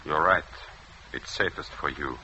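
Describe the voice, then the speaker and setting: An actor playing an arrogant, suspicious enemy colonel in a 1940s radio drama, with a clipped, haughty delivery. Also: male voice, extremely low pitch, measured pace. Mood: guarded, quiet. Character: arrogant enemy army colonel, suspicious, scornful, finally cornered. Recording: muffled, noisy old radio recording.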